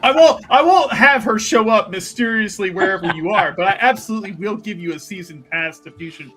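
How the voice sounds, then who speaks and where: A man's voice: sits high, fairly quick, over music. A man chatting casually as a player in a tabletop roleplaying game, talkative and jokey, improvising what a character does. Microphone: streaming microphone on a remote call.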